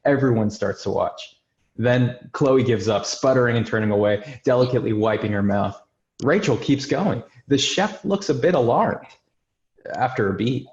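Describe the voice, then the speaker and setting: A man reads introductions and screenplay directions as host. His voice is medium in pitch, and the recording is noticeably roomy and a little dull. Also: normal speed, darkish tone. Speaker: host and stage-direction narrator. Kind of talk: scripted introductions and screenplay narration.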